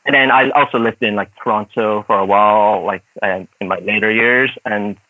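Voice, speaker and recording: A man in his thirties with a light, mixed Irish accent and a bright, forward voice, who grew up speaking Cantonese at home. He answers podcast questions in a loose, rambling way, full of "like" and "uh" fillers and frequent laughter. The sound is dry and close, with no room around it. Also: fairly low pitch, fairly even intonation, normal speed, no echo, low-quality recording. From Dublin, Ireland. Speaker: guest, actor and martial artist. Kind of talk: casual podcast interview.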